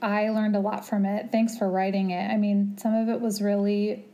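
Mellow, even-toned woman host. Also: mid-range voice, lo-fi sound. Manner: measured and appreciative, reading quotes slowly. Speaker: podcast host. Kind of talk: interview questions.

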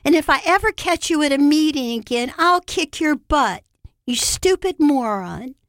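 A woman reader plays an angry, menacing man from a story, spitting threats and insults. The voice is high and emphatic, with a dry sound. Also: animated, normal speed, a bit bright, dry recording. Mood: threatening rage.

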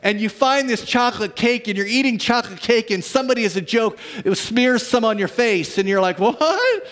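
A man preaching a sermon to his church as pastor, telling stories dramatically and swinging from lively to hushed. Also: extremely high pitch, moderate pace.

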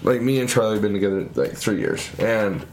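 A man's voice: on the low side, very animated, vocal fry, slight background noise, rough recording. A young man in his early twenties, co-hosting a podcast in casual chat, rambling with many restarts and laughs. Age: young adult. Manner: rambling, halting, frequent laughs. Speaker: podcast co-host.

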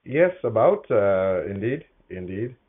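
A middle-aged man from Guyana with a low-pitched voice gives professional interview answers. He is measured and often pauses to find his phrasing. The sound is slightly dull and band-limited. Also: very animated, husky. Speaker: interview guest, corporate HR executive.

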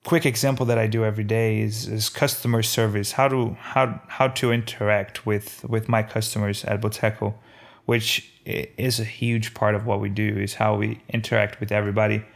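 A man from Brazil with a faint Brazilian accent, speaking casually in an interview as a restaurant owner, haltingly and repeating words as he thinks. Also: lowish voice, little pitch movement, vocal fry. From Brazil, Belo Horizonte. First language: Portuguese.

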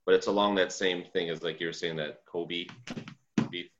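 A man's voice: low-pitched, steady tone, moderate pace, muffled recording. A man in his thirties chatting casually, hedging and poking fun at himself. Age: 30s. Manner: hedging, self-deprecating, easygoing. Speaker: guest. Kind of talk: casual chat.

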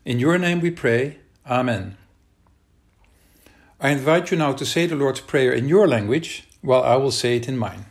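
A male minister with a Dutch accent leads prayer and scripture reading. His delivery is calm and measured, in the steady cadence of liturgy. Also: mid-range voice. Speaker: minister leading worship. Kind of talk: online worship service, prayer and scripture reading.

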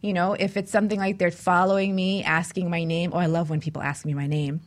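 A woman's voice: on the low side, little pitch movement, fast. A middle-aged woman co-hosting a talk show, speaking in a reflective, playful, conversational way. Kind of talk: casual conversational discussion.

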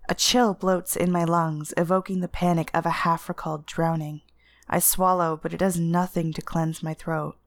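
Lowish, dry-sounding woman narrating fiction. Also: little pitch movement, moderate pace, dry recording. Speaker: story narrator. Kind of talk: dramatic literary first-person narration.

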